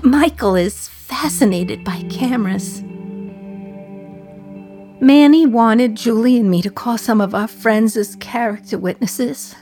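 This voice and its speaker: An actress in her thirties playing a condemned woman in prison, reflecting in a wry, sardonic radio-play monologue. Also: on the high side.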